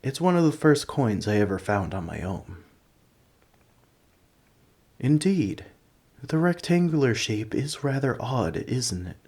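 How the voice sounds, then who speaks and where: A man voicing an ancient, gentle, nerdy dragon in an ASMR roleplay, rambling in a politely formal, scholarly way. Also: mid-range voice, sing-song, measured pace, long pauses, breathy edge, heavily acted. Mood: fond, musing. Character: ancient, gentle, nerdy male dragon who collects coins. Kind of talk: scripted ASMR roleplay, speaking to the listener.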